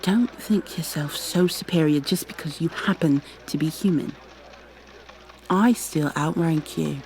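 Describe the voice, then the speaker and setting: A woman's voice: low voice, measured pace, darkish tone, a little hiss or hum, rough recording. A woman playing a proud, exasperated goo girl special agent with prim, formal wording in an ASMR roleplay. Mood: indignant. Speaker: voice actor in an audio roleplay.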